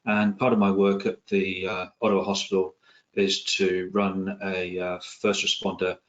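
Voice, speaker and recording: A middle-aged man from England, his accent softened by years in New Zealand, giving an online talk as a psychiatrist, with many ums, restarts and dry asides. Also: low voice, little pitch movement, measured pace, dull audio. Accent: English, with some New Zealand influence. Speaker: psychiatrist and presenter. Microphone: video call.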